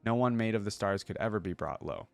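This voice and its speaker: A man's voice: fairly low pitch, expressive, fast talker, vocal fry, faint music behind. A man voicing a proud, wounded young warrior girl in a storybook reading, earnest and defiant.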